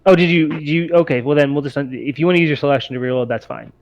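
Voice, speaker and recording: A man's voice: fairly high pitch, fairly even intonation, fast. A man refereeing a tabletop game as game master, thinking aloud and accommodating as he improvises rulings. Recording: muffled mic with noticeable background noise.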